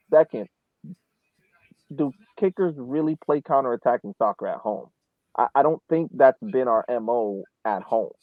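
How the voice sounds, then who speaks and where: A man with a mid-pitched voice talks through casual sports analysis in an explanatory way. The audio is dry and heavily band-limited, like a phone call. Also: dry recording. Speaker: podcast panelist.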